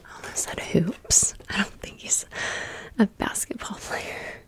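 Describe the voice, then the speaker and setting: Slow, whispering woman doing chatty ASMR. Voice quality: breathy, unvoiced whisper. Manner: slangy, gushing, repeats phrases for effect. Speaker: ASMR creator presenting a doll haul.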